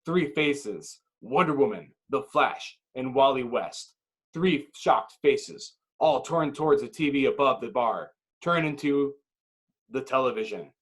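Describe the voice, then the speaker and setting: Male narrator for scripted action, medium pitch, dramatic and clipped. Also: lively intonation, unhurried, rough recording. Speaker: narrator reading stage directions.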